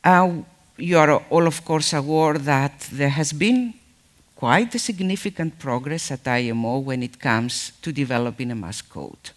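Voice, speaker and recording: A man delivers a formal welcome address to a conference audience at an unhurried pace. His voice sits a little high for a man, and his speech is measured, with frequent pauses and fillers between phrases. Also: animated. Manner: measured, hesitant with many fillers. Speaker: conference speaker.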